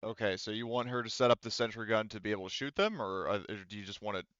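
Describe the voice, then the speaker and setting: A man's voice: lowish voice, quick pace, fairly bright tone, smooth, no room sound. A man acting as game master, talking casually and thinking aloud hesitantly over a slightly dull, dry online voice chat. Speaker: game master of a tabletop roleplaying game.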